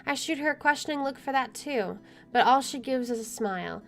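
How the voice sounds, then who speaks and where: A woman's voice: sits high, expressive, brisk, gravelly. A woman streaming a game, reading visual novel narration aloud and adding casual asides. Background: soft music.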